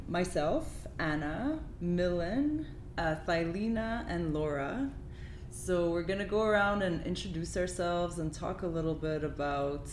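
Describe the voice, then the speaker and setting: Young woman, podcast moderator, friendly and easygoing; faint noise behind. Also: fairly low pitch. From born in Poland, raised in Canada. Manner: friendly, laughs easily, guides the talk. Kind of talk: casual podcast chat. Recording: echoey room, light noise.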